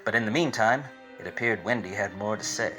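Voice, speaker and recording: An actor playing a wry, obtuse 1940s private detective in melodramatic, deadpan radio-play style. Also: male voice, lowish voice, a little fast, soft background music. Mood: brooding. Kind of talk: 1940s radio detective acting with hard-boiled narration.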